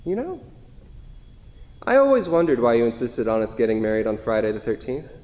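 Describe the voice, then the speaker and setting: A man's voice: mid-range voice, wildly swooping pitch, fairly quick, darkish tone, a little hiss or hum. Wistful husband read by a man, reverberant hall. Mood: wistful, tender. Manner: reminiscing, conversational. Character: Paul, wistful, self-deprecating, devoted husband on his anniversary. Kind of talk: staged reading of a stage play.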